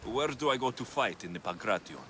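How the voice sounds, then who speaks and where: An actor playing a gruff, stubborn young Greek mercenary in a video game cutscene, terse and deadpan. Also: male voice, medium pitch, fairly quick, a little hiss or hum, natural voice. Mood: wry, questioning.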